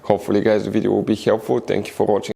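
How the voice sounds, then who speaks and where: Gravelly-voiced man giving repair instructions. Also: lowish voice, little pitch movement, racing, warm tone. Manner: often addresses viewers as "guys". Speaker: car repair video host. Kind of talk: instructional how-to narration.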